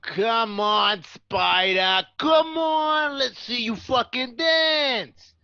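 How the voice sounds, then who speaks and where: Woman playing a sharp, volatile, slow-talking drunk mobster. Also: fairly high pitch, animated, clear, resonant tone, dry recording, muffled recording, heavily acted. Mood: drunken mockery. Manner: drawn-out, mocking, profane. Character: volatile drunken male mobster, taunting and bullying. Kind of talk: amateur radio drama acting.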